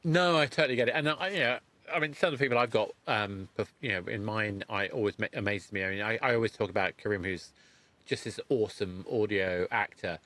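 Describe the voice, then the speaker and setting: A man hosting a casual podcast interview, speaking haltingly and rephrasing his thoughts as he goes. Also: fairly low pitch, fairly even intonation, fairly bright tone.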